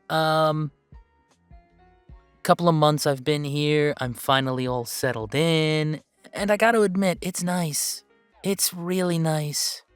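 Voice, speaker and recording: Man acting as a slow, halting male streamer. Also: on the high side, clean tone, no room sound. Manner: halting, rambling, sighing, earnest. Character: grateful, upbeat male video game streamer character. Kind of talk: casual livestream chat, scripted roleplay.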